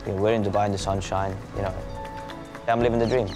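A young man from Norway, a jockey, giving relaxed, measured interview answers. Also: lowish voice, over music.

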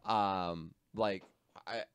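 Low-voiced easygoing man on a podcast. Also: fairly even intonation, drawn-out words, smooth, no room sound. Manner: easygoing, interjects short agreements. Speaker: podcast co-host. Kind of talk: casual hobby podcast chat. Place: small home workshop indoors. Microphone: studio mic on boom arm, close. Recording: dry close podcast mic.

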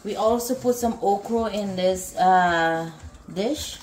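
Slow-talking woman in an echoey kitchen. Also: medium pitch, darkish tone, faint music behind. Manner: repetitive, exclaiming over the food. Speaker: food vlog host. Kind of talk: casual cooking vlog chat. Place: home kitchen, indoors.

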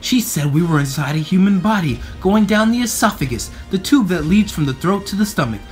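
A man reading aloud to children in an expressive, playful storybook style. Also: sits high, a little fast, soft background music. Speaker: storybook reader. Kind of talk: animated read-aloud narration for children.

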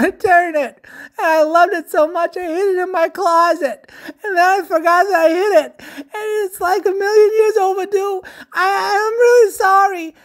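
A woman's voice: very high-pitched, gravelly, pitched above the performer's own voice, heavily acted. A woman voicing an anxious young boy cat in a storybook read-aloud, wailing and overdramatic.

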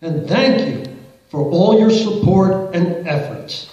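A man's voice: high voice, expressive, slow pace, warm tone, muffled recording, soft background music. Man at a podium, very echoey hall. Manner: pointed, confrontational questioning. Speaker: public commenter at a council meeting. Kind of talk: public comment, reading quotes aloud. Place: large council chamber, indoors. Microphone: podium mic, recorded by a far camera in the audience.

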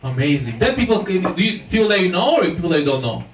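A man's voice: high-pitched, quick pace. A man in his thirties doing stand-up crowd work on a small club stage, teasing the audience into a handheld mic. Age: 30s. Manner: teasing, animated banter with audience. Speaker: stand-up comedian. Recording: echoey club recorded from far off with crowd noise. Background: audience laughter.

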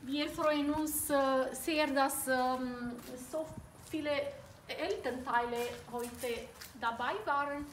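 Middle-aged non-native woman, high unhurried voice, hesitant tour guide, noisy outdoor phone clip. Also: fairly even intonation. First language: not German. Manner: halting, many fillers and self-corrections, giggles. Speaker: school director giving a tour. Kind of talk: improvised walking presentation. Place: outdoors on a school playground at dusk.

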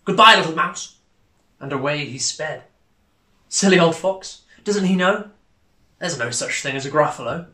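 A man's voice: on the high side, lively intonation, normal speed, fairly bright tone, echoey room. A young man reading a children's story aloud expressively. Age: young adult. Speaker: storybook reader. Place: small indoor room, on a sofa.

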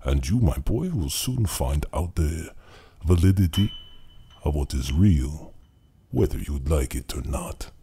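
A man acts out an ominous, superior believer in the occult for an audio drama. His voice is extremely deep and dark, and he makes formal pronouncements at a slow, deliberate pace. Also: animated, a little hiss or hum, exaggerated voice. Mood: knowing, superior.

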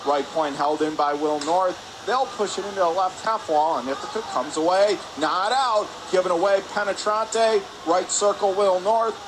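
A man giving live radio-style hockey play-by-play in clipped phrases, getting excitable on big plays. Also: sits high, moderate pace, few pauses. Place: indoor ice hockey arena. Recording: clear rink noise behind. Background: arena ambience and noise.

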